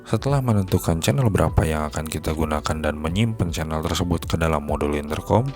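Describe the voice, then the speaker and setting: A man's voice: very deep voice, expressive, fast, a little mellow. A man narrating a scripted gadget review as a product reviewer. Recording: music behind the voice. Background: music.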